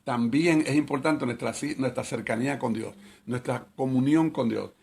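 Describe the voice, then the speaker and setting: Gravelly, husky-voiced man teaching Scripture. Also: mid-range voice, moderate pace, darkish tone. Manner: repetitive, conversational teaching with rhetorical questions. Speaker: Bible teacher. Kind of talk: bible study sermon.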